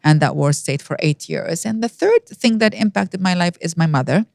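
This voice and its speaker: Iraqi woman in her 50s telling her life story, gravelly fry, really dry close sound, acts out quotes. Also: lowish voice, animated, normal speed, darkish tone, no room sound. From Iraq. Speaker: podcast guest. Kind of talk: personal storytelling in an interview.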